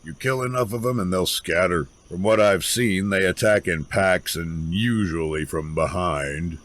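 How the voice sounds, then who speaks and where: An actor playing a gruff, self-reliant woodsman with a folksy, sardonic, grudging way of talking in a fantasy audio drama. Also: deep voice, a little slow, fairly bright tone. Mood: matter-of-fact.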